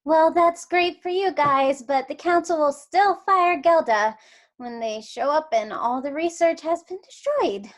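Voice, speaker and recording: Woman acting a blunt, high-pitched space officer. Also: a little slow. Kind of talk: table read of a comedy script.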